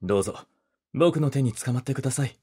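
An actor playing a polite, gentlemanly young wedding photographer who speaks softly and teases the listener in an audio drama. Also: male voice, mid-range voice, expressive, quick pace, breathy edge. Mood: polite, gentle. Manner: soft-spoken, polite, teasing.

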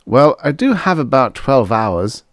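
A man's voice: mid-range voice, very animated, measured pace, no echo, clean studio sound, voice pushed up. A man voicing a blunt, grumbling space trucker with colloquial talk in a science fiction story.

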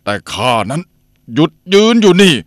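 An actor voicing a fierce young male bandit in an animated cartoon, shouting and defiant. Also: fairly high pitch, normal speed, dry recording, clean studio sound.

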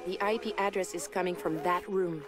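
A woman's voice: fairly low pitch, brisk, music in the background, lightly acted. A woman playing a watchful hacker accomplice in video game dialogue. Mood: focused.

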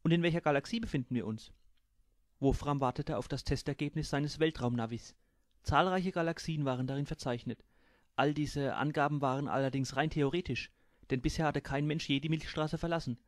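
A man's voice: fairly high pitch, fairly even intonation, fairly quick, no echo, studio quality. A man reading his own humorous audiobook aloud, narrating and giving each crew member a voice.

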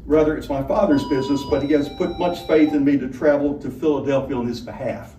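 A man's voice: mid-range voice, fairly even intonation, brisk, warm tone, lightly acted. Storybook young gent in his cups, male reader: genteel, boastful, slurred, miked from a distance with some noise. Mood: tipsy, airy. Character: tipsy young gentleman traveller, airy and boastful. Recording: roomy, distant mic, background noise.

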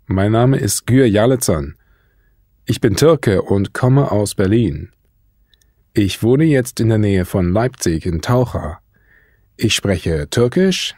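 A man reading scripted dialogue answers for learners with careful, deliberate pronunciation. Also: fairly low pitch, a little mellow, no echo, clean studio sound. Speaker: course speaker voicing dialogue answers.

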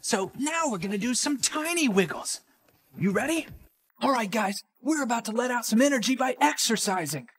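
Excited guy hosting a kids show, super animated up and down delivery, bit of rasp in the voice. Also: very high voice, normal speed. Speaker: children's show host. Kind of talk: energetic scripted children's show presenting to camera.

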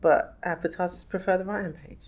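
A woman's voice: fairly low pitch, fairly even intonation, quick pace, a little mellow, slight background noise. A woman, a magazine publisher chatting as a podcast guest, laughing often and restarting her sentences. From England. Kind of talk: casual interview chat.

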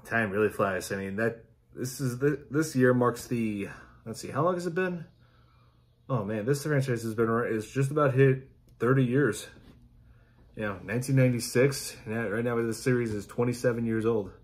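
Man, thirties, medium pitch, casual reaction host, halting informal talk. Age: 30s. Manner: halting, informal, repeats himself. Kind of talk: casual reaction chat to camera. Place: small indoor room at home.

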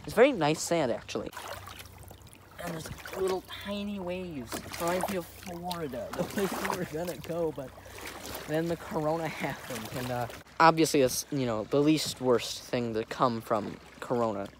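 A man's voice: fairly high pitch, normal speed. A young American man rambling casually to camera in an offhand, easygoing way, recorded outdoors on a phone. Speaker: vlogger. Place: outdoors on a lake in a kayak and on shore. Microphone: phone held or propped close. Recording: noisy outdoor wind and water noise.

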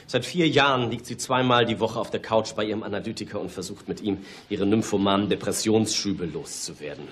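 A man's voice: fairly low pitch, brisk, few pauses, slight background noise, close to an everyday voice. An actor playing an indignant, well-connected male suspect in a German radio crime play, haughty and scornful. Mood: scornful, bitter.

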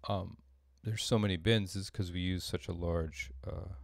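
Middle-aged guy walking thru code on a screencast, deep voice, thinks out loud, really dry close sound. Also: fairly even intonation, creaky, dry recording. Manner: halting, thinking aloud as he works. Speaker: tutorial presenter, composer. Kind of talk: casual screencast coding demo. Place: small home room, indoors.

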